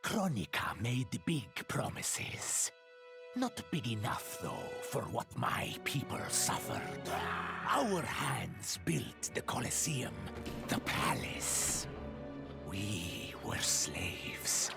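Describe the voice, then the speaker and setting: An actor voicing a fierce, proud, embittered warrior tyrant from another realm in a video game, guttural and forceful. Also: male voice, mid-range voice, huge pitch swings, creaky, full character voice. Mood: bitter pride. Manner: forceful, menacing, halting declarations. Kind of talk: video game character monologue. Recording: loud music behind the voice.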